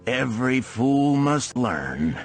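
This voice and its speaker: A man's voice: mid-range voice, very animated, slow pace, faint music behind, voice pushed up. A man voicing a stern, blunt old moneylender mentor who lectures and scolds emphatically in a storybook parable. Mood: exasperated. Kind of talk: audiobook parable character dialogue.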